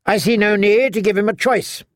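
A man voicing a sharp-tongued, imperious elderly noblewoman in an audiobook, brisk and commanding. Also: high voice, fairly even intonation, dry recording, clean studio sound, voice pushed up, natural voice. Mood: shrewd, certain. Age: older.

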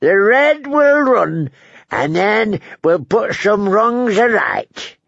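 Elderly storybook lord, querulous, leering, husky, slow drawn-out delivery, muffled recording. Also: male voice, high voice, sing-song, a bit bright, no room sound, pitched above the performer's own voice, heavily acted. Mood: bossy, leering. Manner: drawn-out words, interjecting querulous grunts. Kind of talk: audiobook character acting.

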